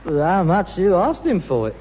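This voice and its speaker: A man's voice: sits high, big pitch swings, moderate pace, a little mellow. An actor playing a bitter, pretentious rag-and-bone man in a British sitcom, with a London accent and a sarcastic, mock-grand delivery. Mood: sly. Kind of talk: British sitcom acting before an audience. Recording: muffled, noisy, reverberant old sitcom soundtrack.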